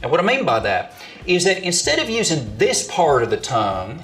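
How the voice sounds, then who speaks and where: Animated man explaining tongue sounds. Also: a little high, moderate pace. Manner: precise, demonstrative, spells out sounds. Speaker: accent coach in a clip. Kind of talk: instructional explanation.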